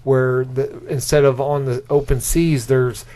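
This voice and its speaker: A man's voice: mid-range voice, flat delivery, unhurried, mellow. A man co-hosting a podcast in casual chat, insistent and often restarting his sentences. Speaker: podcast co-host pitching movie ideas.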